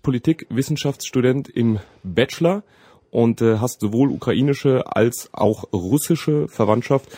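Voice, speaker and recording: A man's voice: medium pitch, warm tone, husky, dry recording, clean studio sound. A man hosting a campus radio interview, asking questions in an informal way.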